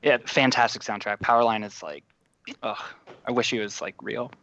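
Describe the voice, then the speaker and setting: A man's voice: lowish voice, fairly even intonation, moderate pace, a bit bright, no room sound, lo-fi sound. A man joining a trivia podcast as a contestant, upbeat and joking. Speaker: quiz contestant. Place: Boston.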